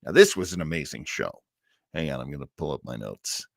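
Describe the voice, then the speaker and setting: A man's voice: rumbling low, sing-song, a little fast, gravelly, no echo, studio quality. A man hosting an old-time radio livestream, chatting casually and enthusiastically in a rambling way.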